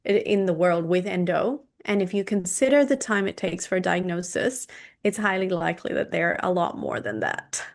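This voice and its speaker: A woman in her thirties, a nutritionist and naturopath from Indonesia, talking through her own health history in a podcast interview, steady and measured with frequent ums. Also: on the low side, vocal fry. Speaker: guest, clinical nutritionist and naturopath. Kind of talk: podcast interview, personal story.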